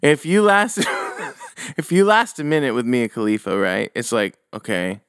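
A man's voice: medium pitch, big pitch swings, normal speed, a bit bright, smooth, no room sound, studio quality. A young man co-hosting a casual podcast, arguing his point at length. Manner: argumentative, talks things through at length. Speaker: podcast co-host.

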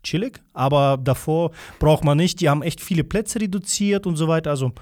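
A young man whose first language is Russian, chatting as an interview guest over a video call. His voice sits a little high and sounds dry and close, with no room echo. He rambles with plenty of fillers and pokes fun at himself. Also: a little fast, darkish tone. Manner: rambling, self-deprecating, many fillers. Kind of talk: casual interview chat.

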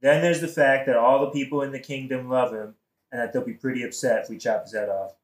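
In a roomy echo, a man acts a scheming king from a modern satirical audio drama. He is medium-pitched, casual and confiding, full of placating false sympathy. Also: steady tone, fast, lightly acted. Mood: confiding, evasive. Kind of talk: modern-language satirical audio play acting.

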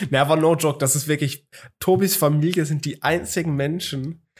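A man's voice: a little high, fairly even intonation, moderate pace. Rambling young German man telling a story. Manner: rambling storyteller with many fillers and restarts. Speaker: podcast co-host. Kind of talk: casual chat, anecdote.

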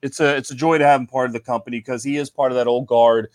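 A relaxed man from Ohio talks through a story at a medium pitch, calling people "man" as he goes. The sound is dry and close, with almost no room around it. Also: fast, no room sound. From Cincinnati, Ohio, US. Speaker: cigar company guest. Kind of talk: casual podcast chat.

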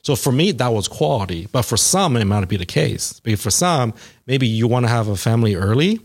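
A man in his forties at a middling pitch, answering questions in a relaxed interview. He talks in a halting way, with many fillers and restarts, as he works through his thoughts. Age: 40s. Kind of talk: casual conversational interview.

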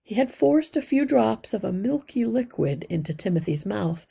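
A woman reading a children's novel aloud as scripted narration, in a careful, expressive storytelling manner. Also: medium pitch, normal speed, creaky, breathy edge.

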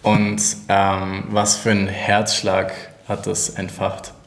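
Young male co-host, twenties, from Hamburg; low gravelly voice, friendly and laughing, roomy echo. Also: monotone, slow pace. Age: 20s. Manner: friendly, laughs a lot, asks guiding questions. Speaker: church co-founder. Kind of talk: casual podcast chat.

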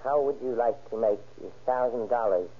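A sly, scheming smuggler from an old radio play, acted by a man. He talks politely and formally in halting, careful phrases at a medium pitch, on a dulled vintage recording. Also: close to an everyday voice. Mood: slyly bargaining. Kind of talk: 1930s radio acting. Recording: muffled, scratchy 1930s radio recording.

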